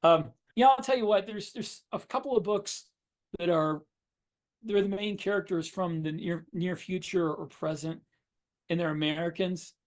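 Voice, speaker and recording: A man's voice: high-pitched, normal speed. A man co-hosting a writing podcast, chatting casually and explaining things in a wry, plain-spoken way. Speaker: podcast co-host and science fiction writer. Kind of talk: casual shop-talk chat.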